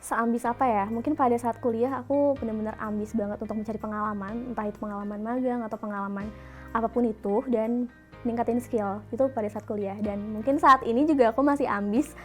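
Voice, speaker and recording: A woman's voice: a little high, steady tone, quick pace, soft background music. A young woman in her early twenties who answers interview questions casually and thinks aloud as she talks. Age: young adult. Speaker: interviewee, video editor.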